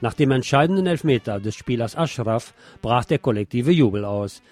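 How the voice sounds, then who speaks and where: A man's voice: medium pitch, animated, normal speed, darkish tone. A man presenting radio news from a script, with the occasional wry aside.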